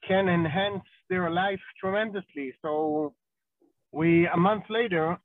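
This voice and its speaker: An Israeli man with a high voice talks at an unhurried pace, pausing often with ums and uhs. The sound is dry and tinny, like a phone line. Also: no room sound. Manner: slow and halting, many ums and uhs. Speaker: rabbi, interview guest. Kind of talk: podcast interview.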